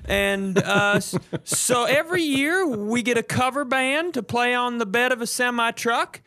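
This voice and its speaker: A man's voice: extremely high pitch, a little slow, sharp tone. A man co-hosting a comedy podcast, telling casual funny stories and laughing often as he goes. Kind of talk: casual comedic chat, storytelling.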